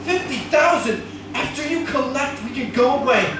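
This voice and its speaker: Actor playing a scheming husband in echoey radio thriller. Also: male voice, very high-pitched, lively intonation, noisy background. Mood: greedy, insistent. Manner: halting, repeats phrases, urgent. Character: scheming, shaken husband, middle-aged man, greedy and insistent. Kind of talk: old-time radio thriller acting. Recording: echoey distant radio-drama recording with music.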